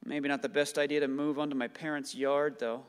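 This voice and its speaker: A man's voice: on the high side, level tone, a little fast, smooth, lightly acted. An actor in a radio play playing an uneasy, mild-mannered young husband and father. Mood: uneasy, rueful. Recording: long hall reverb.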